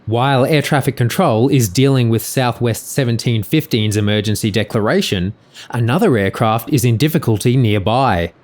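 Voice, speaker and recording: A man reading scripted explanatory narration in a measured, informative way. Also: mid-range voice, darkish tone.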